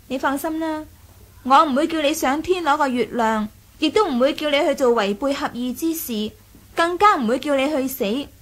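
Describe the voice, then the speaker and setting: High-voiced, sly young Cantonese noblewoman. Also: fairly bright tone. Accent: Hong Kong Cantonese. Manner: playful, taunting, deliberate. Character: sly, teasing young noblewoman, self-assured. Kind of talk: radio drama acting.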